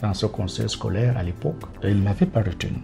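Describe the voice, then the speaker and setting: Measured man. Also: on the low side, creaky, warm tone, faint music behind. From Cameroon. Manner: measured, careful, frequent hesitations. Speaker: teacher guest. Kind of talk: interview answers.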